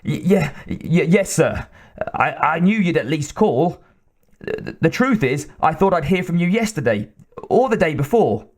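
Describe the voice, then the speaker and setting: A timid little scientist with a nasal twang stammers through his answers, as a man reading the story puts him on. The voice sits a bit higher than the narrator's own. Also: very animated, measured pace, heavily acted. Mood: nervous.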